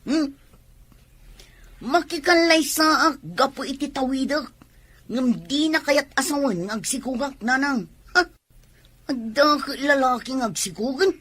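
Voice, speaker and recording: A woman's voice: high-pitched, unhurried, pauses often, fairly bright tone. A woman playing a headstrong, indignant woman in a radio family melodrama, emphatic and questioning. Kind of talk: radio drama acting.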